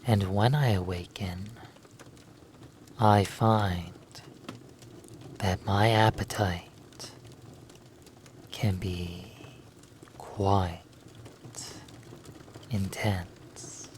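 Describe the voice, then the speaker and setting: Roleplay audio: male actor as hungry, formal vampire, low-pitched, extremely unhurried, quietly menacing. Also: steady tone, a little hiss or hum. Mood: languid, hungry. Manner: slow, formal, deliberate, menacing. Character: predatory, commanding male vampire stranger, hungry and calm. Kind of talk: hypnosis roleplay audio acting.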